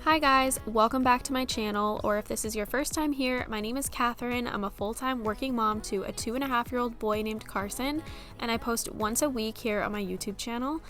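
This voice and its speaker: A woman's voice: on the high side, fairly bright tone. A young woman with a slightly stuffy, scratchy voice from a cold, chatting casually as she narrates her cleaning vlog. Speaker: vlogger, mother. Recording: music playing underneath.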